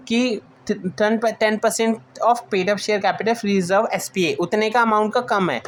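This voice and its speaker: A woman's voice: mid-range voice, slight background noise. A woman with an Indian accent giving an informal lecture, explaining notes point by point and restating them as she mixes in English terms. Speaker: teacher.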